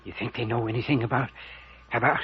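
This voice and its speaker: An actor playing a stubborn, crotchety old rustic mayor with a cracked, raspy voice in a vintage radio mystery serial. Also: male voice, medium pitch, little pitch movement, fast. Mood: suspicious. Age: older. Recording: muffled old radio recording.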